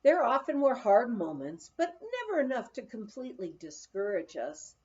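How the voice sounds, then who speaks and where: A woman reading a memoir aloud as an audiobook, with an expressive, deliberate storytelling delivery. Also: a little high, muffled recording. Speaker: audiobook reader. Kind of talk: scripted memoir narration, read aloud.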